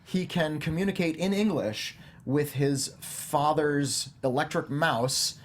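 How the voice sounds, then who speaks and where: A man's voice: a little high, slow. Wordy, wry man hosting a film panel. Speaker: host of a film review panel show. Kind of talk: conversational film discussion.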